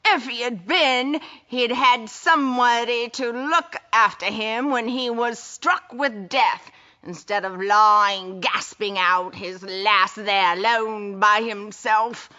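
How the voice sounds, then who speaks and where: A woman's voice: fairly high pitch, steady tone, slow, sharp tone. An actress playing a scornful, judgmental working-class Victorian woman in an audio drama, in slightly muffled audio.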